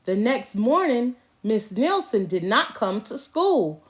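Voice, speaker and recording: A woman narrating a children's story aloud as an expressive storybook reader. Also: on the high side, takes it slowly.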